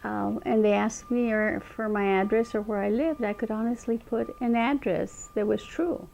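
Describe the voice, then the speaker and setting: A woman's voice: mid-range voice, steady tone, vocal fry, a little mellow, slight background noise, rough recording. An older woman, a state representative, unhurriedly recalling her childhood in an oral history interview. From Montana, raised in Eastern Washington. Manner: reflective, unhurried storytelling with frequent restarts.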